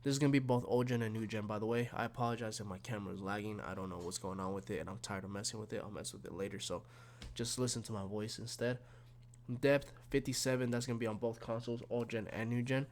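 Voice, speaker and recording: Sick, raspy-sounding gaming YouTuber man. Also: on the low side, fairly even intonation, fast, slight background noise. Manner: rambling, many fillers and restarts. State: sick, slightly raspy and snuffly. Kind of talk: casual tactics walkthrough.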